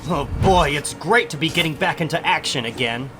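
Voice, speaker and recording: A man's voice: on the high side, a little fast, music in the background, natural voice. A man voicing a cocky, wisecracking young superhero in a comic book dramatic reading, boyish, breezy and slangy. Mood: eager, surprised.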